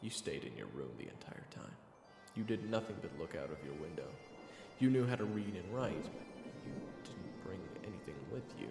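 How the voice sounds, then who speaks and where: A man with a low voice narrates a scripted second-person tale. His delivery has a creaky, gravelly edge, and music plays clearly behind him. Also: echoey room, big-room sound.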